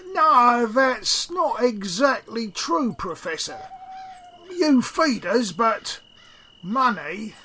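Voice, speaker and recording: A man's voice: extremely high pitch, big pitch swings, drawn-out words, crowd noise, exaggerated voice. An actor playing a dim, plaintive Cockney henchman in an audio play, rambling in folksy bad grammar. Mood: matter-of-fact. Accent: Cockney English. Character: dim, grumbling male Cockney henchman from London.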